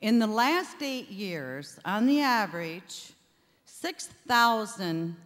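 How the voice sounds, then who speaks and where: An older woman giving an informal thank-you speech from a stage at a stand microphone, with halting, deliberate phrasing. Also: fairly low pitch. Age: older, 60s or above. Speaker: charity founder giving thanks. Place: theatre stage before an audience. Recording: reverberant hall sound.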